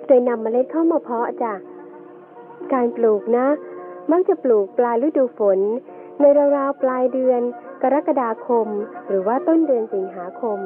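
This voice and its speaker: Woman playing a gentle mother, tinny and higher-pitched. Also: lightly acted. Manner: gentle, instructive. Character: gentle mother patiently teaching her young daughter. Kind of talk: scripted educational radio drama. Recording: tinny band-limited audio with music behind.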